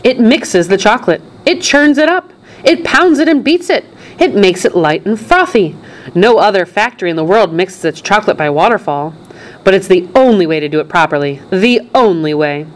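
A woman voicing an excitable, showy male chocolate factory owner in a storybook, proud and gushing with emphatic delivery. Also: on the low side, a little fast, lightly acted. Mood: delighted, proud.

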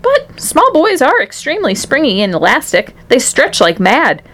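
A woman voicing an eccentric gentleman inventor in a storybook, polite, fussy and excitable. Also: sits high, normal speed, voice pushed up, full character voice. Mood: matter-of-fact.

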